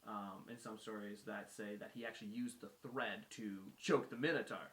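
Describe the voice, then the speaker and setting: Male guest storyteller, informal and colloquial, bright tone, medium pitch, recorded in a roomy space. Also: steady tone, normal speed. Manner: acts out dialogue in colloquial phrasing. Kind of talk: casual retelling of a myth. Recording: roomy echo.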